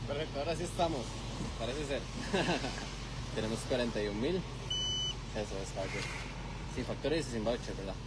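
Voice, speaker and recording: A man's voice: medium pitch, expressive, moderate pace, long pauses. A twenty-year-old man chatting casually and amiably with a customer as a service attendant. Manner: chatty, informal, friendly. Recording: loud traffic and vehicle noise.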